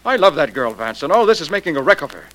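An overwrought fiancé in a vintage radio mystery. His speech races along with big swings in pitch, urgent and pleading. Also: big pitch swings, fast, a bit bright, rough recording. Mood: alarmed, pleading. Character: agitated, pleading fiancé of a threatened actress. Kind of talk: old-time radio drama acting.

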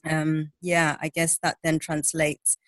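A woman from Zimbabwe, a social entrepreneur guesting on a podcast, speaking candidly and haltingly with fillers. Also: deep voice, monotone, fairly bright tone, dry recording, clean studio sound. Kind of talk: conversational interview.

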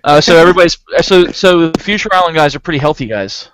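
Male host, relaxed buddy-style chat, slightly high register, close dry sound, joking manner. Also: fast, no echo, low-quality recording. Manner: jokey, loose, informal. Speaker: podcast host, musician. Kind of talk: casual chat.

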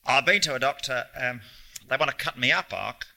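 A man's voice: medium pitch, normal speed, gravelly, pushed and bright. A man reading a story, voicing an abrasive, sardonic, ailing old man with theatrical delivery. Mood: miserable. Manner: theatrical, mocking rants.

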